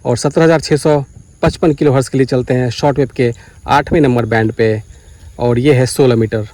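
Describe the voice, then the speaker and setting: A man's voice: mid-range voice, steady tone, a little fast, warm tone, a little hiss or hum. A man from India, explaining things methodically in a vlog tutorial and repeating key details. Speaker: vlogger presenting a radio tutorial. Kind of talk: explanatory vlog commentary while demonstrating. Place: outdoors beside farm fields.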